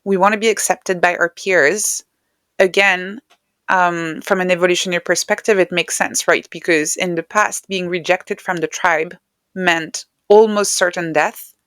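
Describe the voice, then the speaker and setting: A woman's voice: on the low side, fairly even intonation, measured pace, fairly bright tone, no room sound. A woman speaking English as a second language, answering reflectively as a guest in an interview conversation. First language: not English. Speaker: podcast guest, writer and neuroscientist.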